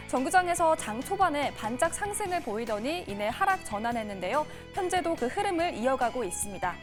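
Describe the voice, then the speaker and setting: A woman presenting a scripted stock market news report in a polished, formal broadcast delivery. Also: high-pitched. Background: music bed.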